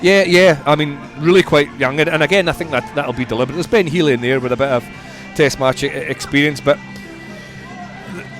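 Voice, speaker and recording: A man giving conversational sports punditry as a radio guest, measured and repeating words for emphasis. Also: fairly high pitch, fairly bright tone, faint music behind.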